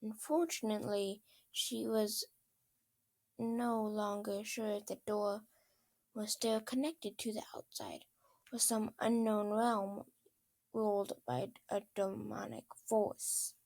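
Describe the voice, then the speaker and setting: A woman narrating a story as an amateur reader, halting and often stumbling over words. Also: medium pitch, steady tone, creaky, a little mellow, no room sound. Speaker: fan fiction reader.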